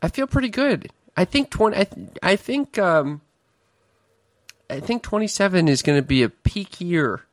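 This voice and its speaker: A man's voice: sits high, animated, normal speed, no room sound. Man in his twenties, wry, stammering, comic podcast co-host, dry close mic. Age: 20s. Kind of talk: casual chat.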